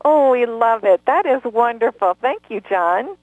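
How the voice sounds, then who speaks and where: A woman's voice: on the high side, lively intonation, measured pace, dry recording. A woman hosting a live radio interview, effusive and gushing with praise. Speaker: radio host. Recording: tinny phone-line sound.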